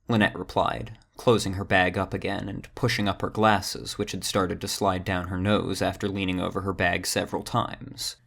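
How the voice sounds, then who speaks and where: Low, gravelly fantasy narrator. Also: flat delivery, normal speed, few pauses, a bit bright. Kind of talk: scripted fantasy audio drama narration.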